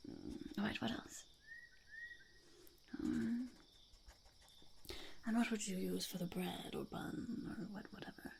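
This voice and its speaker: A woman voicing an eccentric, self-important goblin in a whispered ASMR roleplay, breathy with creaky edges, fussy, hesitant and repetitive. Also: mid-range voice, takes it slowly, long pauses, darkish tone, slight background noise. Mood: curious, finicky. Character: eccentric, self-important goblin overlord interviewing a would-be sidekick.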